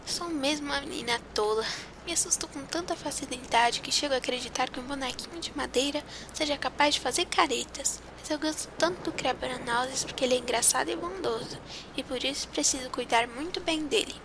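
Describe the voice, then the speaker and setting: A woman's voice: high voice, brisk, noisy background. A woman playing a sweet, timid young girl in an audio drama, speaking in an earnest, pleading way. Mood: fond.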